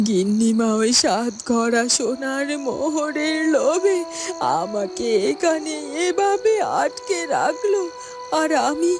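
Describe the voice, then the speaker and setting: A woman playing a distraught captive mother, a poor housemaid in a cartoon, lamenting in a plaintive, drawn-out way. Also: sits high, exaggerated voice. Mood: bitter, lamenting. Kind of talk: Bengali cartoon voice acting.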